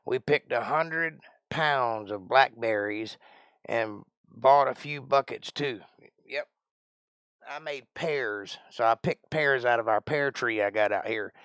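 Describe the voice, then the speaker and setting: A man's voice: medium pitch, a bit bright, smooth, no room sound. A man in his forties hosting a live stream, chatting casually in a rambling, folksy way as he thinks aloud and answers his chat. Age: 40s.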